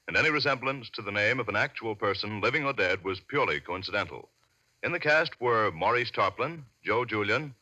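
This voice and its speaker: Male 1940s radio announcer: medium pitch, very animated scripted delivery. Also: muffled recording.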